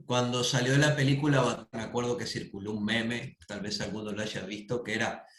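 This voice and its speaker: A man speaks over a video call in an echoey room, at a middling pitch. He is thoughtful and hesitant, and he fills his pauses often as he works through his ideas at an academic panel. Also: flat delivery, fairly bright tone. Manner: thoughtful, hesitant, frequent fillers. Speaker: conference panelist. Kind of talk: academic discussion comment. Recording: roomy echo.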